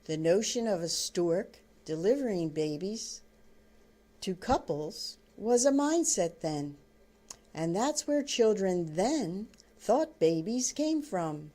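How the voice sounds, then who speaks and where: An older woman reading her own book aloud as storybook narration, in deliberate, halting phrases. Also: mid-range voice, very animated, warm tone.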